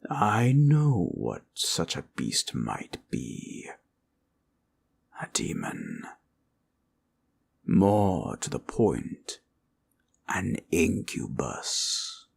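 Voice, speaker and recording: An ancient red dragon, with a low, husky voice that swells and dips. He speaks very slowly in formal, old-fashioned phrases, fatherly and tender, as in a fantasy audio roleplay. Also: full character voice. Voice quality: deep, gravelly, husky voice.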